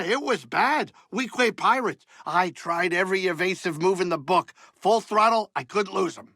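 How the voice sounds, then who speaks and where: A creaky, high-voiced gruff alien pilot. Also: male voice, pushed and bright, dry recording, full character voice. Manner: wisecracking, folksy, old-time wise-guy slang. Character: gruff, excitable, soft-hearted older alien pilot turned cantina cook. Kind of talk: video game character dialogue.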